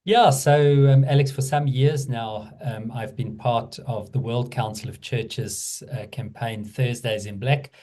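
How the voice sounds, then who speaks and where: A middle-aged man with a South African accent, speaking as a guest in a conversational interview, measured and thoughtful, with frequent fillers. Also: mid-range voice, fairly even intonation, mellow. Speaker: guest, theology professor.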